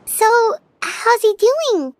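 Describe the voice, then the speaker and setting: A woman's voice: very high voice, animated, takes it slowly, no room sound, heavily acted. A woman voicing a small, childlike, inquisitive companion creature in a video game.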